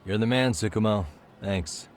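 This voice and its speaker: Actor as a deep-voiced, laid-back detective. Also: male voice, moderate pace, slight background noise, close to an everyday voice. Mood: easygoing, friendly. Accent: American. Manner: casual, understated. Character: laid-back adult male detective, calm and probing. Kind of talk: video game cutscene acting, naturalistic.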